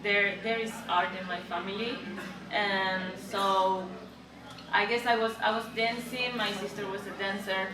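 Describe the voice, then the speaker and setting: Female interview guest, Argentine accent, native Spanish speaker, conversational; recorded at a distance with chatter behind. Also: mid-range voice. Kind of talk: casual interview answers. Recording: echoey, distant mic, background chatter.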